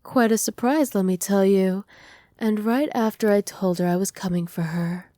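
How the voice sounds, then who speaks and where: A woman voicing a doting, possessive evil witch in an intimate ASMR roleplay, speaking softly and teasingly, often slipping into breathy whispers. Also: medium pitch, normal speed, no echo, natural voice. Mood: smug, satisfied. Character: doting, possessive evil witch pampering her captive pet. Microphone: close microphone, dry.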